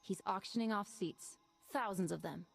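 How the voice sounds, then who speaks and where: An actress voicing a tired female ex-smuggler pilot in a video game, pitching a heist in a brisk, businesslike way. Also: vocal fry, soft background music. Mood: scheming.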